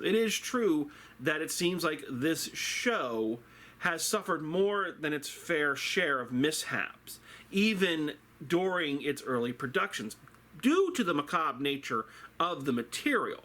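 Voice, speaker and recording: Slow, theatrical man storytelling like a teacher. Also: a little high, sharp tone. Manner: theatrical, deliberate, rhetorical questions. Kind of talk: dramatic storytelling lecture.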